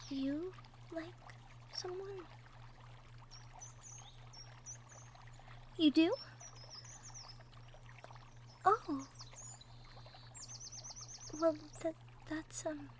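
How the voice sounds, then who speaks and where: A woman playing a shy, sweet young cottagecore woman in love, speaking softly and hesitantly in an intimate roleplay audio drama. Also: sits high, heavily acted. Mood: hiding disappointment. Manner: hesitant, bashful, soft-spoken, trailing off. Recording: faint background noise, birdsong effects. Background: birdsong, outdoor nature sound effects.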